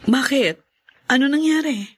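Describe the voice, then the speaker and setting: A woman's voice: sits high, a little slow. A woman playing a concerned, questioning younger sister in a radio drama. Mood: surprised, concerned. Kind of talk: Filipino radio drama acting.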